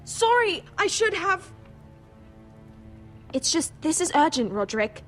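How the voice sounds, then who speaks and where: An actress playing an earnest, fierce young noble girl in a video game drama, halting yet insistent. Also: extremely high pitch, very animated, heavily acted. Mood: flustered. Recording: music behind the voice.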